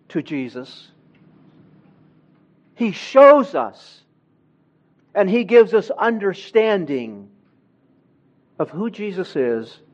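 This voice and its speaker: A man who grew up in Honduras, preaching a sermon in a church with slow, emphatic delivery that swells louder on key words. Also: sits high, highs cut off.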